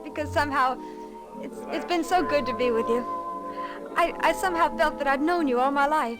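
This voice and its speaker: A woman's voice: high voice, normal speed, over loud music, natural voice. An actress playing a sweet, nervous young small-town woman in old radio drama style, polite and hesitant. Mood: tender. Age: young adult. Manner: breathlessly hesitant, courteous. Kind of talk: 1940s-50s radio drama acting.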